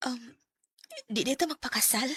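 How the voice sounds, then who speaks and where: A high, bright, emotional young wife. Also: female voice, moderate pace, breathy edge. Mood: shy, tender. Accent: Visayan Filipino. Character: devoted young sweetheart and wife, soft-hearted, emotional. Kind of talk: Bisaya radio drama acting.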